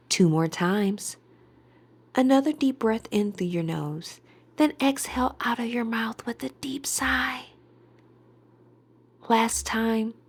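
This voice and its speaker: A woman leading a scripted guided meditation in a soothing, deliberate manner that often softens into breathiness, recorded close on a voice-over microphone. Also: high voice, husky.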